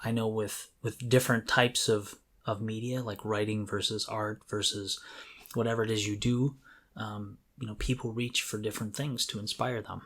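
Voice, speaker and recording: A man's voice: on the low side, level tone, moderate pace, fairly bright tone. Reflective man in casual podcast conversation. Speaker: podcast co-host.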